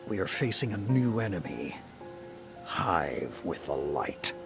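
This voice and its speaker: A battle-hardened older warlord with an extremely deep voice. He gives orders slowly and gravely, stern and commanding, as in a dramatic video game. Music plays clearly behind him. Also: big pitch swings, full character voice. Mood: stern, resolute.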